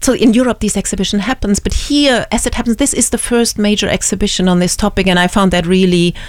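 A woman's voice: medium pitch, steady tone, quick pace, no room sound. A woman with a German accent, a museum curator who answers interview questions thoughtfully, with many ums and restarts.

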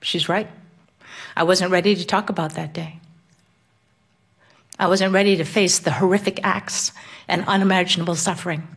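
Woman, lowish voice, earnest and reflective personal essay reading. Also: steady tone, normal speed, long pauses, breathy edge. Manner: earnest, emotional.

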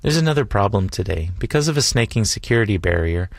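A man's voice: lowish voice, expressive, quick pace, creaky, no room sound. A man narrating a travel documentary in a dry, wry, reflective way. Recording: voice-over with music behind.

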